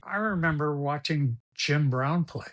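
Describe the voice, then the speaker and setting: A man's voice: on the high side, unhurried. Older guy, spanish first language, rambly storyteller, keeps repeating words. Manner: rambling, repeats words, laughs while telling stories. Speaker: guest. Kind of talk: storytelling interview.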